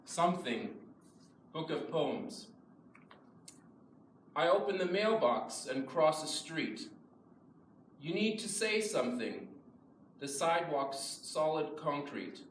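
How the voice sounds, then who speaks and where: A man reciting translated poems in a measured, careful reading. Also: fairly high pitch, sing-song. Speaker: reader of English translations. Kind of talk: poetry recitation. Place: event room before an audience, picked up from a distance. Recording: echoey room, distant mic, faint noise.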